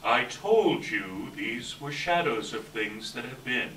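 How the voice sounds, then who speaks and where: A man's voice: mid-range voice, lively intonation, takes it slowly, a bit bright, slight background noise. A man playing a stern, commanding spirit guide with terse delivery in a stage reading. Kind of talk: readers theater stage reading. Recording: echoey hall from far off.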